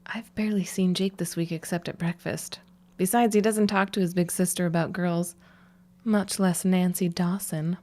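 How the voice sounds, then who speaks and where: An actress playing a sarcastic, dry-humored grown daughter in a period audio drama. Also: female voice, on the low side, fairly even intonation, moderate pace, warm tone, natural voice. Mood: wry, exasperated. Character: wry, sarcastic grown daughter stuck in family store.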